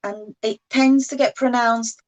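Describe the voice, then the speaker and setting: A woman's voice: fairly high pitch, steady tone, measured pace, low-quality recording. A woman, explaining English usage casually as a guest in a language chat.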